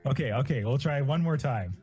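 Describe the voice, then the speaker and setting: A man's voice: mid-range voice, expressive, brisk, darkish tone, breathy edge, faint music behind. A man in casual livestream chat, also narrating fan fiction aloud. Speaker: voice actor, stream guest.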